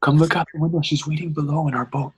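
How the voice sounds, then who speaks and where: A man's voice: fairly high pitch, steady tone, fast talker, slightly rough. An actor playing a desperate, tender young man in a dramatic play reading, urgent and pleading, stammering and repeating himself.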